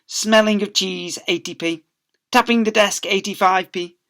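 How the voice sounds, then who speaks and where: A man reads a children's story aloud in a bright, punchy voice. He is a teacher performing for pupils, leaning theatrically into the key words. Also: sits high, steady tone, normal speed. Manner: theatrical emphasis with acted sound effects. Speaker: teacher reading aloud to schoolchildren. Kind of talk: animated storytelling.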